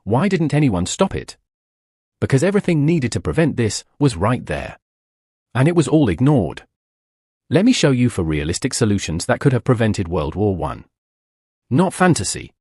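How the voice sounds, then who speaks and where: A man narrates a history explainer in a husky, slightly breathy voice. His pitch rises and falls a great deal as he delivers punchy, dramatic lines and throws out rhetorical questions. The recording is close and dry, with no room sound. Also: a little mellow, dry recording. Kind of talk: scripted documentary narration.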